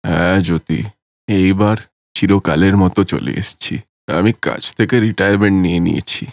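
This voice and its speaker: A man's voice: low-pitched, normal speed, creaky, dry recording, clean studio sound. A man voicing a newly retired, forgetful grandfather in a cartoon story, bewildered and coaxing. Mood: resolved, soft. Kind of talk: cartoon story character acting.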